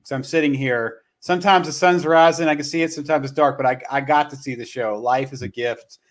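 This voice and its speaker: A man hosting a casual live-stream conversation, speaking earnestly and haltingly, often repeating his words as he goes. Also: mid-range voice, fairly even intonation. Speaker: host.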